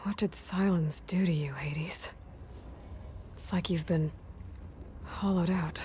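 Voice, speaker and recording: A woman's voice: fairly low pitch, fairly even intonation, slow pace, warm tone, a little hiss or hum. A woman playing a determined, sardonic young warrior heroine in a video game, questioning in a dry, pressing manner. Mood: sardonic probing. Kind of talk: video game dramatic acting.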